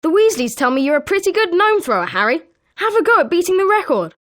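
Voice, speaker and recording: An eager British schoolboy wizard voiced by a woman for a video game, pitched high. The delivery is chirpy and emphatic, swinging widely in melody, with a friendly competitive edge. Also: big pitch swings, fast, a bit bright, exaggerated voice. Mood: encouraging. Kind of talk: scripted video game character lines.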